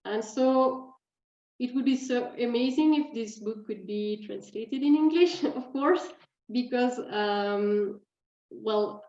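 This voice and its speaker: A woman's voice: on the high side, takes it slowly, darkish tone, echoey room, dull audio. A young woman with a Swiss French accent, a writer talking about her books in English with many fillers and restarts. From French-speaking Switzerland.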